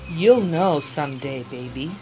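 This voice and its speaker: Low-voiced, soothing mother bird played by a woman. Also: animated, a little slow, darkish tone, slight background noise, rough recording. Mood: gentle, knowing. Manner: soothing, encouraging, knowing. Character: gentle, wise mother oriole. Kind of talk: storybook read-aloud character voice.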